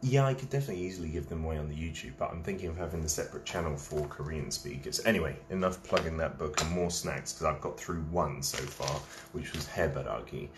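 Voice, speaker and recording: A man's voice: very deep voice, quick pace, echoey room, noisy background. A man, hosting a casual late-night live stream, reading out viewers' comments and chatting while he eats snacks. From England.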